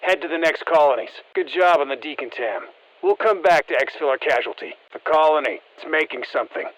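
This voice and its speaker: A man voicing a seasoned special-forces operator in a video game, briefing the squad in a terse, steady, encouraging way. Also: fairly high pitch, brisk, low-quality recording. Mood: focused, encouraging. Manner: terse, matter-of-fact military delivery. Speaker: military operator giving mission guidance. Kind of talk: scripted video game voice lines.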